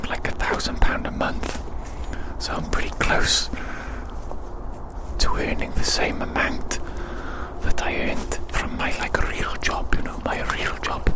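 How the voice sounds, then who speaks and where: Slow, breathy ASMR whisperer. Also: rough recording. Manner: slow, repeats phrases softly. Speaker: ASMR YouTuber. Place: outdoors in winter woods.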